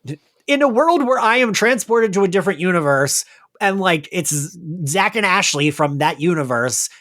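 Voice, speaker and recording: Male podcast co-host, casual and talkative, excitable, with very lively intonation. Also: high voice, moderate pace. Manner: chatty, filler-heavy, excitable tangents. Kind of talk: casual recap chat about an audio drama.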